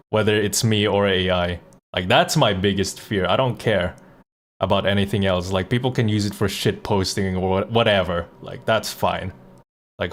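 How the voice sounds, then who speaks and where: Guy on a livestream just talking, lowish voice, casual and blunt. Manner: blunt, profane, conversational. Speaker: live streamer and voice actor. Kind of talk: casual livestream chat and reactions.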